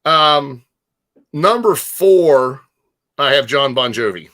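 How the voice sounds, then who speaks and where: A man's voice: mid-range voice, lively intonation, slow pace, bright, forceful tone. An American man hosting a casual talk show, going through listings and lists in a conversational way. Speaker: host presenting show listings and top-five lists. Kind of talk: casual chat.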